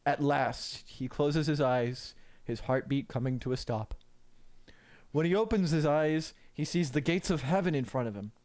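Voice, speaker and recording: A man's voice: a little high, normal speed, a little mellow. A man reading a scripted story aloud as narrator, in a theatrical, mock-solemn dramatic style.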